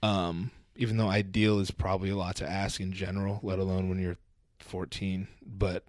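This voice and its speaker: A man's voice: sits low, little pitch movement, normal speed, a little mellow, no room sound, clean studio sound. A man in his thirties, a podcast guest, talking about his upbringing in a laid-back, nonchalant way and swearing freely. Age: 30s. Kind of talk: casual chat.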